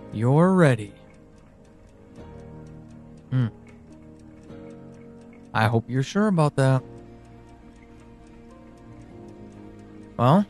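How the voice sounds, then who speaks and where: An actor playing an anxious, conflicted man in an audio drama monologue, speaking haltingly with sighs. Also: medium pitch, full character voice. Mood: wistful, uneasy. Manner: hesitant, halting, sighing. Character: anxious, conflicted man leaving voice messages to a missing loved one.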